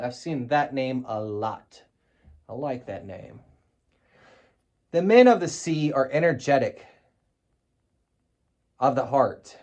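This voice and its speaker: A man's voice: on the high side, darkish tone. A middle-aged man from the United States casually showing and explaining a wartime flag on camera, blunt and profane, with wry asides. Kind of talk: casual show-and-tell vlog.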